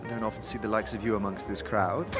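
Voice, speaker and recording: A man's voice: lowish voice, fairly even intonation, fast talker, over music, lightly acted. A man voicing a chatty, awkward female clerk in an audio drama, hesitant and polite. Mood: awkward, friendly.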